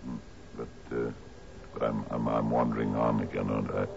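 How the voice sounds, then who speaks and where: An actor playing a doddering, sentimental old island sea captain who stammers and repeats himself, in a 1970s radio drama. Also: male voice, very deep voice, little pitch movement, normal speed, vocal fry, full character voice. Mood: rambling, earnest. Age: older. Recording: dull, noisy old radio recording.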